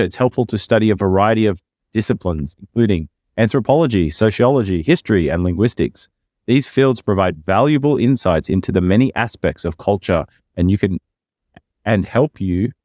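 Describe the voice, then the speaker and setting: Male podcast co-host, low voice, deadpan note-reading and loose banter, dry close sound. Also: dry recording. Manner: reads text aloud stiffly with slips, then relaxed and joking. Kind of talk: reading a definition aloud, then casual chat.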